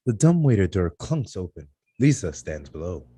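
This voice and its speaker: A man with a very low, husky voice reads screenplay stage directions at a table read. His tone is dark and mellow, yet his pitch moves around in a lively way. He now and then trips on a word and corrects it. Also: moderate pace, dry recording. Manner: stumbles over words and corrects himself.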